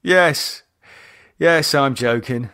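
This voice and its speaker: Man acting shaken, halting, worn-out man. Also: mid-range voice, sharp tone, no echo, studio quality, pitched above the performer's own voice, exaggerated voice. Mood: wry. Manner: halting, hesitant, sweary. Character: shaken, exhausted man, sarcastic and fearful.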